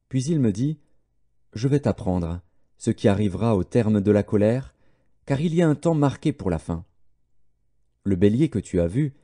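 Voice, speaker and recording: A man narrating scripture as an audiobook, reading in a measured, solemn manner. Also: mid-range voice, warm tone.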